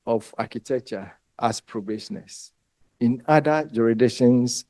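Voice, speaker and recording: Ghanaian man as a lecturer, slow and dry-sounding, chatty with filler pauses. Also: mid-range voice, mellow, no echo. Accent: Ghanaian English. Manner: unhurried, conversational, trails off with ums. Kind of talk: lecture to exam candidates.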